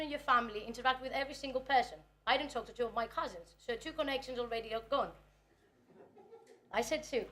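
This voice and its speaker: A woman's voice: sits high, a bit bright. A young woman from Greece, a native Greek speaker, giving a nervous, chatty conference talk about research. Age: 20s. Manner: nervous, chatty, self-deprecating.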